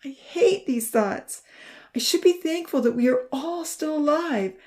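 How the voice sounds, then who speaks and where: A woman's voice: fairly high pitch, animated, measured pace, mellow, pitched above the performer's own voice. Woman voicing a grieving young scarred man. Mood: sobbing. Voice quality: breathy whisper breaking into sobs. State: crying. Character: young burned man, anguished and tearful.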